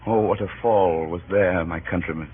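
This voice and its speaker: An actor playing a grieving Roman orator stirring a mob, in theatrical, declamatory old-time radio Shakespeare style. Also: male voice, low voice, steady tone, moderate pace, husky. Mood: mournful, solemn. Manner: theatrical, declamatory, swinging from hushed grief to cries. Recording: muffled, hissy old radio recording.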